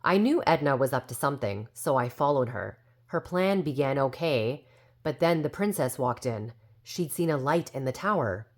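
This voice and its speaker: Woman acting a younger fairy sister, prim, smug, gossipy, low-pitched for a woman, animated storybook delivery. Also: moderate pace. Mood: suspicious, exasperated. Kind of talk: storybook character narration.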